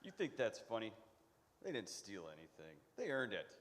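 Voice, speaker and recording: Touchy, indignant male pickle pundit, played by an actor, very animated delivery, comic radio play in a reverberant hall. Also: on the low side, normal speed. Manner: emphatic, indignant. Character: intense, touchy male pickle expert commentating like a sportscaster. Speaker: festival commentator. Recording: live, reverberant church hall.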